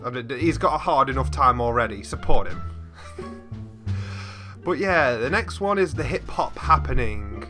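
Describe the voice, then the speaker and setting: A man giving casual reaction commentary as a host, often breaking into laughter as he talks. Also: mid-range voice.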